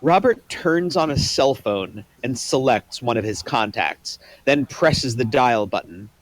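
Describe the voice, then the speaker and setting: A man's voice: mid-range voice, expressive, measured pace, dry recording, poor audio. A man narrating the action in a plain, matter-of-fact way. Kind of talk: reading stage directions.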